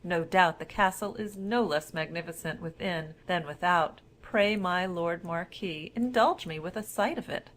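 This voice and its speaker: A woman's voice: fairly low pitch, a little slow, hardly any pauses, natural voice. Fairy-tale king, voiced by a female reader: courtly, gracious, formal, full of stately admiration. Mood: admiring.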